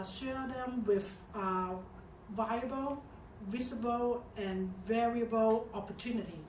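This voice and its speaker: A woman from Hong Kong with a Hong Kong accent, explaining tips step by step in a corporate training talk. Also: mid-range voice. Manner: explains in short numbered tips with filler pauses. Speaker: guest speaker on expansion. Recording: echoey room, mic at a distance, light noise.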